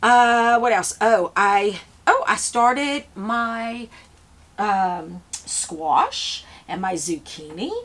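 A woman's voice: a little high, lively intonation, extremely slow, a bit bright. A woman, chatting casually to the camera while she tends seedling trays in a seed-starting shed, rambling with lots of fillers and restarts. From Louisiana, US. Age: 50s. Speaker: flower farmer. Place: indoor seed-starting shed with grow shelves.

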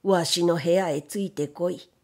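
Storybook role: brooding elderly gentleman female reader performing, commanding, unhurried, old-fashioned turns of speech. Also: sits high, steady tone. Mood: commanding, gruff. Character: stern, brooding blind old gentleman, master of the house.

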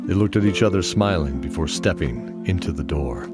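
A man's voice: low voice, expressive, normal speed. A man narrating a fantasy audio drama as scripted storytelling. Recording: music under the voice. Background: music.

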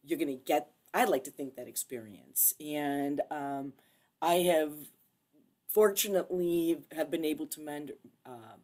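A low-pitched woman speaks earnestly on a video call panel, pausing often and searching for words. She sounds like a university instructor making a serious point. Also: darkish tone. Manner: earnest, halting, with many fillers and pauses.

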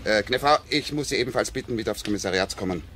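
A man's voice: fairly low pitch, rapid-fire, natural voice. A man as a brusque Viennese police inspector. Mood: impatient. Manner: brusque, official. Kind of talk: radio crime play acting. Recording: loud crowd of bystanders behind.

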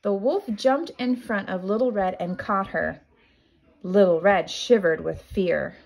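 A woman teacher reading a children's picture book aloud in an expressive, deliberate storytelling manner. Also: mid-range voice, vocal fry, rough recording.

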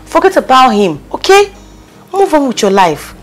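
A woman's voice: medium pitch, sing-song, measured pace, slightly rough, exaggerated voice. A woman with a Nigerian accent acting a bossy, loyal young friend in a drama, speaking emphatically with Pidgin slang. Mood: firm, consoling. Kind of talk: naturalistic film drama acting.

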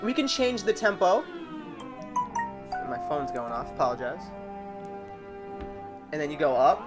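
Chatty woman giving a software tutorial. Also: low voice, big pitch swings, unhurried, loud music behind the voice. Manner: chatty, rambling, self-correcting. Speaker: tutorial host. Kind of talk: informal screen-recorded software tutorial.

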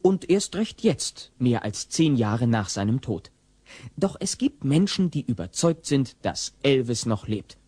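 A man's voice: medium pitch, sing-song, measured pace, mellow, husky, no echo. A man narrating a comedy audio play as a wry, theatrical storyteller. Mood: mock solemn. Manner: wry, dramatic.

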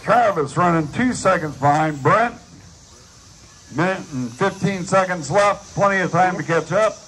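A man with a fairly high voice announces a live race. He gives running positions and times as commentary. The recording is made outdoors at a track, with some noise behind him. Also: measured pace, a bit bright, rough recording.

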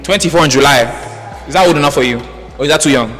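Fast-talking young man hosting a game show. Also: fairly high pitch, a bit bright. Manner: teasing, quick, repeats words for effect. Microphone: handheld mic. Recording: roomy studio echo with music.

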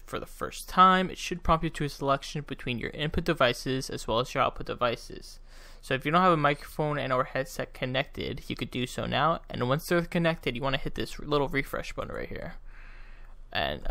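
A young man casually narrating a software tutorial as its host, close on a desktop microphone. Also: medium pitch, creaky, dry recording. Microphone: close USB desktop microphone.

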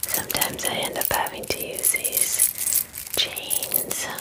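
A woman in her twenties whispers softly and gently in a chatty, unhurried ASMR personal-attention roleplay, close to the microphone. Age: 20s. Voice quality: soft, breathy whisper. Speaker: ASMR performer playing a werewolf spa groomer. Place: small indoor room.

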